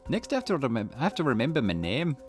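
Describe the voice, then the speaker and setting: A man's voice: mid-range voice, huge pitch swings, quick pace, over music. A man voicing an anxious young woman with amnesia in a visual novel game, puzzling things out aloud. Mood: confused, searching. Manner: puzzled, thinking aloud while investigating.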